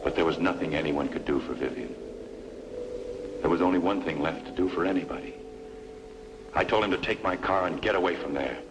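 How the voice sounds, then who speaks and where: A man's voice: low-pitched, fairly quick, long pauses, noisy background, close to an everyday voice. An actor playing a hard-boiled, remorseful leading man in an old-time noir radio drama, terse and grave. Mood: grave, confessional.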